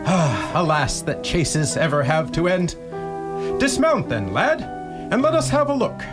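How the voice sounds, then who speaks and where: Slow declamatory medieval knight done by a guy, stern and condemning, rhyming radio drama, loud plucked guitar under it. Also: fairly high pitch, sing-song. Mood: hearty. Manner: declamatory, archaic diction. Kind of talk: rhyming verse radio drama in mock-Shakespearean English. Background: guitar accompaniment.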